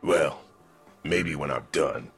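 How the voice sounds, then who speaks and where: Gravelly, deep, irritable bar stranger. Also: male voice, slow, faint music behind, heavily acted. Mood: guarded. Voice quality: gravelly, raspy. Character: irritable low-voiced bar stranger telling his horror story. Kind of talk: comedy-horror radio play acting.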